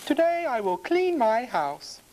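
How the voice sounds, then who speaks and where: A woman's voice: on the high side, big pitch swings, a little slow, a little mellow, a little hiss or hum, voice pushed up. A woman voicing a house-proud farmer's wife in a storybook, emphatic, fussy and exclamatory. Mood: determined.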